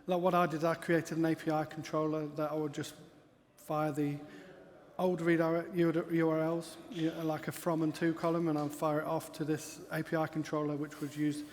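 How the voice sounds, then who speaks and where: A man answering a technical question on a conference panel, his voice slightly high and very flat in tone. Long hall reverb and faint ambience surround him. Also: moderate pace, slight background noise. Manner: matter-of-fact, technical. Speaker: panel speaker. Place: large hall, conference stage.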